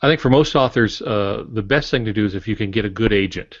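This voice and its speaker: Male author for podcast discussion: lowish pitch, plainspoken and colloquial, slightly muffled audio. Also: quick pace. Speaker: author, podcast guest or panelist. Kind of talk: casual explanatory podcast talk. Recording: dull, roomy podcast audio.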